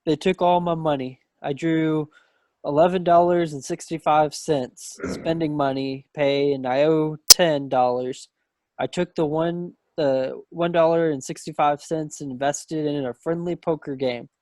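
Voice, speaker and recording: A breezy young serviceman of the Second World War acted by a man in a play reading. His voice is on the high side, rueful and a bit boastful, heard very dry over a video call. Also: steady tone, measured pace, darkish tone, no echo, lightly acted. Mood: wry, rueful. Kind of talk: dramatic table read of a stage play. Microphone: computer mic on a video call.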